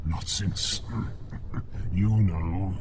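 An actor playing a droll, grumbling fire demon in a radio drama. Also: male voice, low voice, steady tone, very slow, noisy background. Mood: wry. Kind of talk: British radio drama acting.

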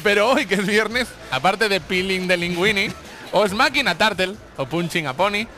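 A man in his thirties hosting a comic radio show, talking fast and teasing his guests. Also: sits high, bright, forceful tone, soft background music. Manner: quick, teasing, playful. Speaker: radio host. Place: radio studio with live audience. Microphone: headset mic.

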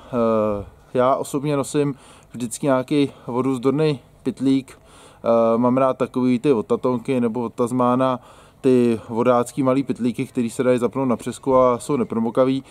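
A man's voice: mid-range voice, steady tone, a little mellow, dry recording. Chatty Czech-speaking man demonstrating outdoors. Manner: chatty, joking, colloquial Czech. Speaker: outdoor gear channel host. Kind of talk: casual how-to demonstration. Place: outdoors in a grassy yard by a wooden shed.

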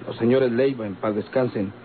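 A man acts out a courteous Mexican police commander, grave and worried. He speaks formally and deliberately in a mid-range voice. It is vintage radio drama, with thin, tinny sound and some hiss. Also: medium pitch, fairly even intonation, lightly acted. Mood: grave concern. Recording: tinny, hissy old radio recording.

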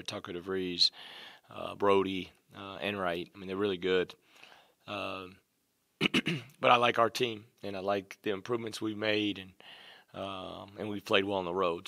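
A middle-aged man, a basketball coach, answering questions at a postgame press conference from behind a desk mic, measured and full of "um" and "you know". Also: low voice, creaky, bright, forceful tone, no echo, clean studio sound. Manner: measured, lots of "um" and "you know", repeats phrases. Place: press room, seated at a table before a logo backdrop. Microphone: desk mic on a stand in front of him.

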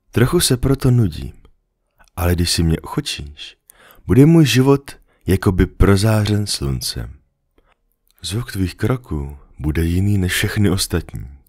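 A man reading a storybook, voicing a lonely, patient female fox in a soft, earnest, coaxing way. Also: deep voice, dry recording, studio quality, voice pushed up.

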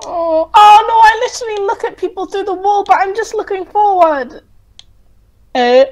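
A woman's voice: extremely high pitch, expressive, moderate pace, darkish tone. A giggly woman chatting casually as she plays a game, often breaking into laughter. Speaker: gamer in group voice chat.

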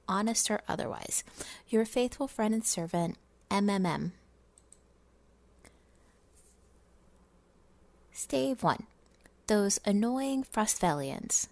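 A miserly, self-satisfied businessman, acted out by a woman tells his holiday tale from a fantasy storybook. The delivery is theatrical and pompous, full of scorn, in a medium-pitched voice with a dry, close sound. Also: animated, a little slow, dry recording. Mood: grandiose, scornful. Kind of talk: dramatic reading of fantasy game lore.

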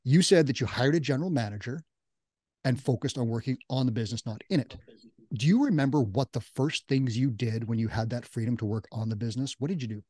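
Fast-talking male interviewer, close dry mic. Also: medium pitch, darkish tone, no room sound. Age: middle-aged. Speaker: podcast host and interviewer. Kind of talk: conversational business interview. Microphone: boom-arm studio microphone with headset.

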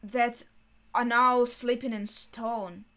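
A woman's voice: on the high side, fairly even intonation, slow pace, fairly bright tone. A young adult woman giving casual reaction commentary as a video host. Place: small bedroom, indoors.

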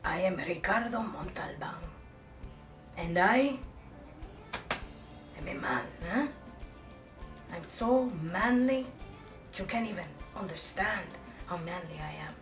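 A woman's voice: fairly low pitch, expressive, slow pace, pauses often, music in the background. A woman voicing a boastful, pompous, macho man in a comedy sketch. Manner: boastful, grandiose. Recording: echoey room, distant mic.

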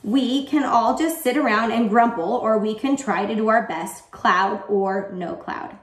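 A woman voicing a patient, encouraging schoolteacher in a storybook, with a reassuring, measured manner. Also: on the high side, natural voice. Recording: echoey room, distant microphone.

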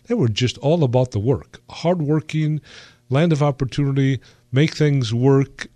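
A man's voice: medium pitch, measured pace, mellow, no echo. Hesitant man hosting a radio interview. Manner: hesitant, filler-heavy, restarts. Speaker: radio show host. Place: radio studio.

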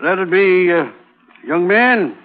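Slow, folksy western storekeeper man. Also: high voice, expressive. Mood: obliging. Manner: deliberate, folksy, hesitant tallying. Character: obliging, unhurried male general storekeeper out west. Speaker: radio drama actor. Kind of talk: 1950s radio western acting. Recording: crackly, tinny old radio broadcast.

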